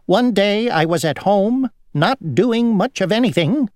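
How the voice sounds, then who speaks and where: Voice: mid-range voice, animated, unhurried, a little mellow, dry recording, clean studio sound, pitched above the performer's own voice, natural voice. A reader voicing an obsessively tidy housefly from a children's storybook, in a busy, insistent manner. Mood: fussy, earnest.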